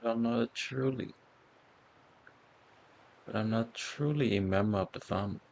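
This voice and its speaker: A man's voice: mid-range voice, measured pace, creaky, darkish tone, a little hiss or hum. A man streaming a game, casually commenting and reading lines aloud, often muttering words over again to himself. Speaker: gameplay streamer.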